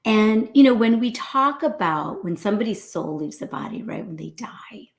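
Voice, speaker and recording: A woman from New York hosting a casual spiritual talk for live viewers. She talks in an animated way, often tags her lines with "right?" and laughs a lot. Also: moderate pace. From New York, USA. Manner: acts out quoted voices in a high pitch. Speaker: host, doctor.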